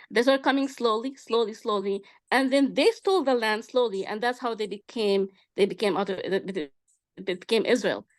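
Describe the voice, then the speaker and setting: A middle-aged woman with a Palestinian Arabic accent and a fairly high voice. She talks informally to a group, explaining with emphasis and often repeating herself or checking that listeners follow. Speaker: discussion participant. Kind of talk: informal personal testimony in a group discussion.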